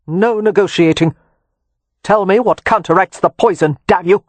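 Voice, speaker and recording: A narrator voicing a hot-tempered gentleman who shouts his threats in a raised voice. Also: mid-range voice, normal speed, dry recording, natural voice. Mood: threatening. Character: furious young gentleman, commanding.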